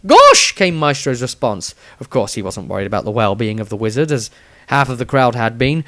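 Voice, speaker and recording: A man's voice: mid-range voice, dry recording, studio quality. A man reading a scripted fantasy adventure aloud as a storyteller, with dramatic emphasis. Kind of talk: scripted audiobook narration.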